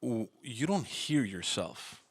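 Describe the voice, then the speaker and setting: A young man with a Montreal English accent hosting a podcast, telling stories in a chatty, animated way and laughing often. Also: mid-range voice, slow pace, husky, no room sound. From Canada. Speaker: podcast host. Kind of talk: casual interview chat.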